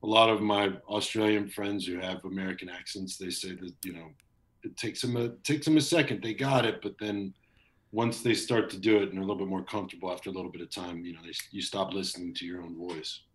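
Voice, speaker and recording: A man in his thirties with a very low voice, talking loosely and at length as a guest. He rambles and restarts with plenty of fillers. The sound comes over a video call. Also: steady tone, fast talker, poor audio. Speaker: voice actor. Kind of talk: casual interview chat.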